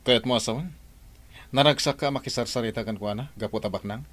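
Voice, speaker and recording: A man's voice: medium pitch, fast talker, fairly bright tone, lightly acted. A man playing a jealous, touchy boss in a radio drama, asking sulky questions. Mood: brooding.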